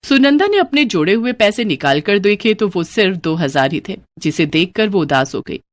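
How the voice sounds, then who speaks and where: A woman narrating a children's cartoon moral story in steady, simple scripted storytelling. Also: mid-range voice, moderate pace.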